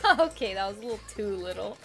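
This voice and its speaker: A woman's voice: mid-range voice, lively intonation, quick pace. A woman live streaming a game, thinking aloud in a casual, rambling, indecisive way and repeating herself. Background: quiet music.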